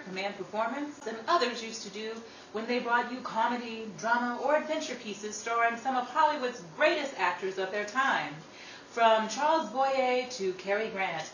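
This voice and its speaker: A woman with a high voice announces in vintage radio style. She sounds off the mic in an echoey room, with faint noise and some crowd behind her. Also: a bit bright. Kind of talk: 1930s old time radio style introduction. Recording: roomy, distant, noisy recording with crowd ambience.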